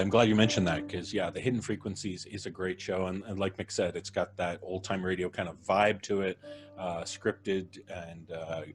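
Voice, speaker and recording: A man hosting a live podcast stream, chatting casually and thanking his guests as he wraps up. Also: low voice. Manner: relaxed, chuckling, thanking guests. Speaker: podcast host.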